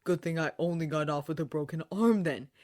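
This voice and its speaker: A woman's voice: low voice, fairly quick, a little mellow, lightly acted. A woman voicing a young schoolboy hero, blunt, snappish and sarcastic, in close to her own voice. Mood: sharp.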